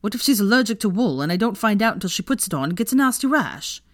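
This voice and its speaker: A woman reading as a fretful young man in a storybook, anxious and short on tact. The intonation is lively and the recording is dry. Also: medium pitch, brisk, dry recording, pitched above the performer's own voice. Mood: panicky. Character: anxious, tactless, fretful young man, posh-shopping boyfriend.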